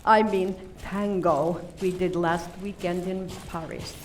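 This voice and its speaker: A woman's voice: on the low side, steady tone, darkish tone. A woman playing a flirty, scatterbrained Spanish socialite with theatrical, gushing delivery in a comic radio play. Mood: gushing, coy. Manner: theatrical, gushing, drawn-out emphasis. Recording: distant mic with background noise.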